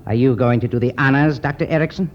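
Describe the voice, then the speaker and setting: An actor playing a self-important Egyptian antiquities supervisor, formal and coolly courteous, in a 1950s radio drama. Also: male voice, medium pitch, fast, lightly acted. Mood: imperious, polite. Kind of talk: 1950s radio adventure acting. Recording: muffled, noisy 1951 radio recording.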